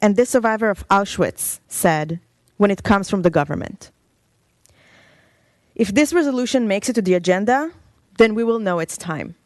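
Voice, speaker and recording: A woman's voice: medium pitch, animated, moderate pace, long pauses, darkish tone, dry recording. A woman from Israel giving an impassioned public comment with urgent, pointed questions at a council meeting podium microphone. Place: council chamber.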